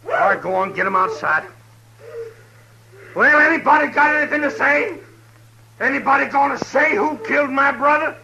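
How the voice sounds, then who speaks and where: An actor playing a vengeful, taunting gang leader in an old western radio drama. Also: male voice, extremely high pitch, expressive, normal speed, long pauses, muffled recording, noisy background. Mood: cold menace. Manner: menacing, taunting. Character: vengeful, sneering male outlaw gang leader.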